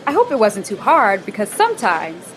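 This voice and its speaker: An actress playing a bubbly, bossy office manager in a radio play, talking in a chirpy sing-song way. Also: female voice, mid-range voice, wildly swooping pitch, moderate pace, a little hiss or hum, rough recording. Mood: upbeat.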